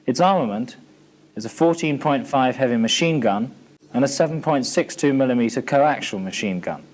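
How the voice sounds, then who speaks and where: A British man delivers scripted instructional narration for a military training film. His voice sits at a medium pitch. He speaks formally and matter-of-factly, setting out information in an orderly way. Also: lively intonation, normal speed. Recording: 1980s archive training film soundtrack.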